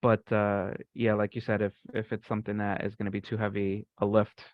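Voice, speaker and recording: A man's voice: on the low side, flat delivery, a little fast, dry recording. A man casually explaining something technical on a group call, with a polite, tentative manner. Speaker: participant in a software community call. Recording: dull, band-limited online call audio.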